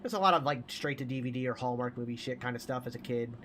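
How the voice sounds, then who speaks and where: A man's voice: medium pitch, fast talker, a bit bright, slight background noise. A man chatting casually as a podcast host, profane and opinionated.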